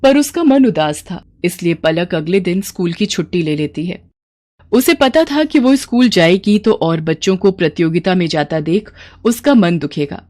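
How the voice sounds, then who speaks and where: A woman's voice: on the low side, lively intonation, normal speed, darkish tone. A woman reading a scripted children's story as its storyteller. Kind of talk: scripted children's story narration in character voices.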